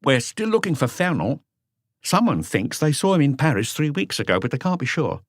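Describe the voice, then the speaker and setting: A man's voice: fairly high pitch, sing-song, brisk, dry recording, studio quality, voice pushed up. A man voicing a subordinate police officer in an audiobook, matter-of-fact and inquisitive. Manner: matter-of-fact reporting, questioning. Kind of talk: audiobook character dialogue.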